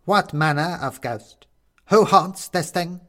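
A man voicing a small plastic goblin king figurine, grating and gleeful, chanting slowly in rhyme. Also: a little high, pushed and bright, voice pushed up. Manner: slow sing-song rhyming chant.

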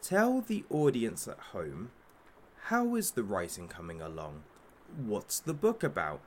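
An actor playing a menacing, mocking captor who interrogates with pompous sarcasm in an audio drama. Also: male voice, fairly low pitch, huge pitch swings, measured pace, slight background noise. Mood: sardonic, menacing. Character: menacing, sneering, theatrical captor interrogating a young influencer. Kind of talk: audio drama villain monologue.

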